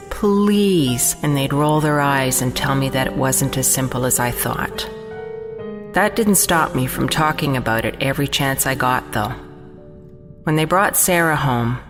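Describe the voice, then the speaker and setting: A woman reading a scripted first-person horror story told from a child's point of view. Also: low-pitched. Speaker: story narrator. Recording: long reverb, prominent music bed.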